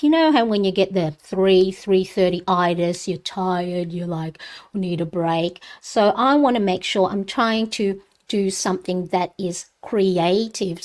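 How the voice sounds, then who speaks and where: A middle-aged woman from the Philippines, Tagalog her first language, hosting a casual planner live stream in a chatty, rambling way with frequent fillers and light laughs. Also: mid-range voice.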